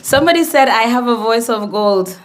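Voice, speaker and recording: A hoarse woman with a Gambian accent, a radio presenter moderating a formal launch event at a conference centre in an upbeat, chatty way. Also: on the high side, expressive, moderate pace. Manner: upbeat, chatty, with many fillers. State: hoarse from a flu.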